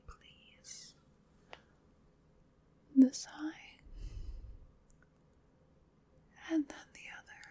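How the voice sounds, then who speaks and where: Woman doing an old lady vampire for asmr, whispery n super breathy, slow, soothing but creepy, very polite. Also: on the high side, exaggerated voice. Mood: clinical, gentle. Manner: slow, deliberate, soothing, genteel menace. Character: ancient female vampire, sinister yet coaxing and polite. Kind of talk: ASMR roleplay.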